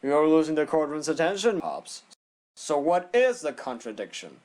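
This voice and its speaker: A man's voice: a little high, sing-song, normal speed, darkish tone. A man acting a haughty, condescending bird prosecutor in a courtroom audio drama. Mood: smug, taunting. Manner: pompous, condescending, theatrical.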